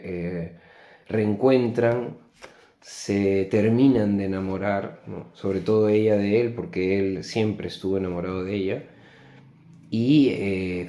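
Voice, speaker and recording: A man with a Peruvian Spanish accent giving an unscripted book review in a reflective, halting way, often checking in with tag questions. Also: on the low side, mellow. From Peru. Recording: echoey, roomy sound.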